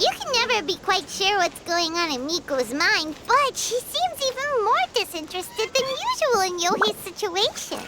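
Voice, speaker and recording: Brief: tiny fairy sidekick, very high and squeaky, bouncy delivery, game score behind. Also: female voice, heavy background noise. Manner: excitable, chattering. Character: tiny floating fairy companion, chirpy girlish sidekick.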